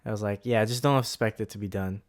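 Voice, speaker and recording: A man's voice: fairly low pitch, steady tone, quick pace. A man in his twenties, co-hosting a podcast in a laid-back, rambling way, often laughing. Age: 20s. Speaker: podcast co-host. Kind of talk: casual chat.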